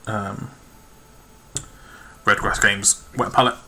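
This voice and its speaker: A man chats casually to a live audience while he paints miniatures, often trailing off into quiet mutters to himself. His voice sits a little low but comes across very bright and crisp. A faint hiss of noise runs under a cheap webcam setup at his hobby desk. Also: steady tone, takes it slowly. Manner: muttering to himself, chatty asides to viewers. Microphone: webcam perched on a lamp.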